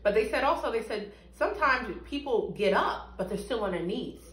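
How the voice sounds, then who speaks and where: A woman's voice: on the high side, animated, moderate pace, a bit bright. A woman giving a spoken church testimony in an interview, earnest and emphatic, picked up a few feet from the camera in a small room. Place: small indoor room with bare walls, seated. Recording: echoey room, mic at a distance.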